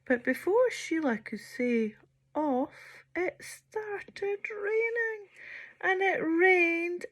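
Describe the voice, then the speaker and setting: A woman storyteller reading to children theatrically, drawing out words and dropping into hushed near-whispers. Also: extremely high pitch, lively intonation, extremely slow.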